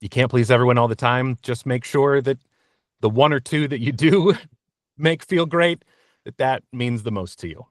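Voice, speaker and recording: A man's voice: medium pitch, moderate pace, no room sound, studio quality. A man answering interview questions as a guest, casual and candid, often thinking aloud in informal language.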